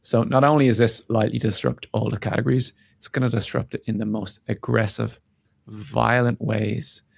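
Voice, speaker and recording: A man's voice: on the low side, level tone, moderate pace, creaky, breathy edge, no echo. A man giving earnest, reflective and emphatic answers as a guest in a conversational interview. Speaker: interview guest, tech company founder and CEO.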